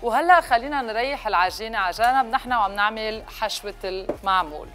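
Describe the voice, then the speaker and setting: A woman's voice: on the high side, fast talker, a bit bright. A woman in her thirties with a Lebanese accent, presenting a recipe to camera and mixing in some English words. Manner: mixes English words into speech. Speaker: cooking show host. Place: kitchen set, indoors. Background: music.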